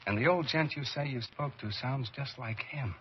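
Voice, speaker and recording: A man's voice: mid-range voice, moderate pace, natural voice. Folksy American skeptic, played by a man, muffled recording. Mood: puzzled. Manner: folksy, colloquial. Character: plain-spoken, skeptical working man, loyal male companion. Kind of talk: old time radio horror acting. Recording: dull, hissy old radio recording.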